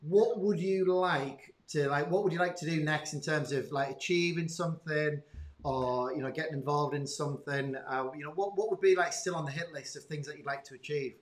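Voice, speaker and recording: A man hosting a casual interview, enthusiastic and informal, with halting phrasing. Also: fairly high pitch, reverberant. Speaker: interviewer, school host.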